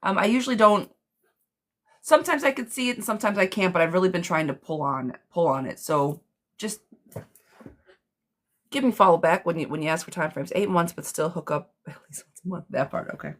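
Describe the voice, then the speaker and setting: Woman, hoarse smoky voice, coughing from a cold, live tarot reader, chatty, reassuring, low-pitched. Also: fairly quick. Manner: chatty, reassuring, calls listeners girlfriend. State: sick with a cough. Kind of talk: live tarot reading for viewers.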